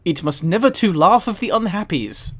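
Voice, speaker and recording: A man's voice: high-pitched, a bit bright. A man casually reading aloud on a livestream and commenting, easily amused and giggly. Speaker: streamer.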